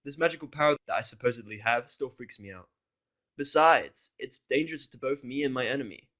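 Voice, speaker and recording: A man's voice: medium pitch, a little fast, fairly bright tone, natural voice. A man voicing a surly, cynical human character with a blunt, sarcastic, grumbling manner in a story. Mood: irritated, defiant. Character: surly, foul-mouthed, cynical human in pony world.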